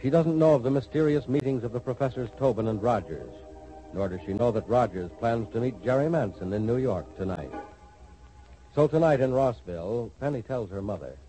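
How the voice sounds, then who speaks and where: A man announcing and narrating a 1940s radio serial in a scripted, dramatic, measured manner. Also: medium pitch, animated, warm tone, poor audio.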